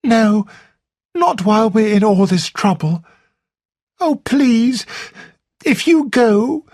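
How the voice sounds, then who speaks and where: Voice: medium pitch, lively intonation, slow, a little mellow, no echo, studio quality, voice pushed up. A reader doing a giddy young woman. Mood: pleading. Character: cheerful young woman, excitable and warm.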